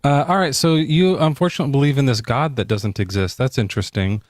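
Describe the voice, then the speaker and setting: A man's voice: mid-range voice, no room sound, studio quality. A man hosting a casual late-night debate stream, joking and often restarting his sentences. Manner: joking, halting, many restarts. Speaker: debate show host.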